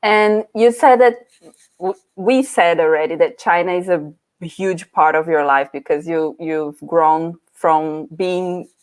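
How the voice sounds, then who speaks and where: A woman hosting an interview over a video call, asking questions in a halting way with many fillers and restarts. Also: lowish voice. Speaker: host.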